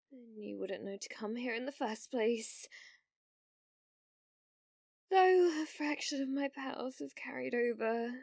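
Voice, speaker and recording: Woman voicing delusional demon lord girl, ASMR roleplay, grandiose and archaic, obsessive, unhurried delivery, dry close sound. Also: on the high side, vocal fry, no room sound, heavily acted. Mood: flustered, imperious. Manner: grandiose, archaic, theatrical phrasing with flustered stammers.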